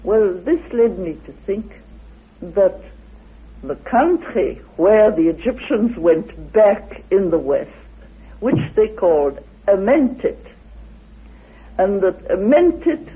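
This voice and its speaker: A man giving a lecture-like explanation in an interview as a researcher, with deliberate, halting, declamatory phrasing. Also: sits high, big pitch swings, breathy edge. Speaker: interviewed researcher of ancient myths. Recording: tinny phone-like old radio recording.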